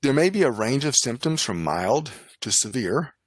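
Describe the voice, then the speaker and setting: A man narrating a scripted medical lecture as an instructor, in a formal, measured, instructional manner. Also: medium pitch, very animated, no echo. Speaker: lecturer, nurse and poison specialist.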